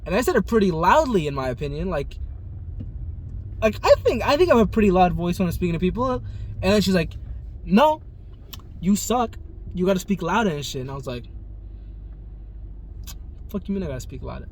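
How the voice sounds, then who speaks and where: A man's voice: sits high, very animated, a little fast, long pauses. Casual young man rambling to camera. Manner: rambling, loose and profane, many likes and ums. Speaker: vlogger. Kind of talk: casual vlog chat. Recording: noisy background ambience.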